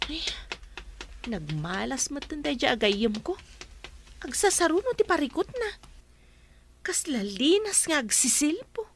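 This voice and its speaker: An actress playing a sympathetic, helpful neighbour in a radio drama, with an Ilocano Filipino accent. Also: female voice, high-pitched, sing-song, measured pace, long pauses, a little hiss or hum, exaggerated voice. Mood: concerned.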